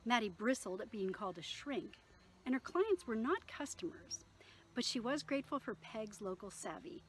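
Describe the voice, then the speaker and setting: A woman reading her own novel aloud in an expressive storytelling manner, with occasional small stumbles. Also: fairly high pitch, normal speed.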